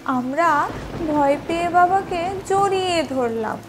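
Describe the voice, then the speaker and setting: A woman narrating a children's story in an expressive, theatrical, sing-song way, taking her time. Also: very high voice, slow, slight background noise.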